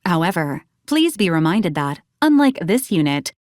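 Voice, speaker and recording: A polite deadpan lady robot sidekick from a game, super formal and literal, dry studio sound. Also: fairly low pitch, normal speed, no echo. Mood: dryly advising. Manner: formal, clipped, deadpan, precisely worded. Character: polite, literal female robot companion, dryly cautious. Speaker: companion unit reporting to a commander. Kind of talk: scripted game voice lines, formal robotic advisories.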